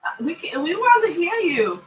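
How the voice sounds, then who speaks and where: A woman from Trinidad and Tobago with a Trinidadian accent, hosting an online talk show in a lively, teasing way. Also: very high voice, normal speed, distant mic, poor audio. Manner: lively, laughing and teasing guests. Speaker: host.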